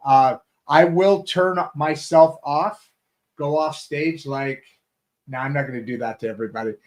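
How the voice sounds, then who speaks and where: A talk show host with a slightly raised pitch, talking in a loose, rambling way. He restarts his sentences often and keeps circling back before he gets to his point. It sounds like a casual home-studio setup. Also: measured pace, darkish tone. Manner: chatty, rambling with many restarts and fillers. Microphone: video call setup from a home studio.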